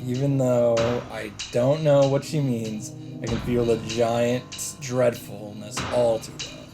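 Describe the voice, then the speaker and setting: A man's voice: mid-range voice, fairly even intonation, slow pace, music in the background. A man reading visual novel narration aloud as a let's play host, with casual asides.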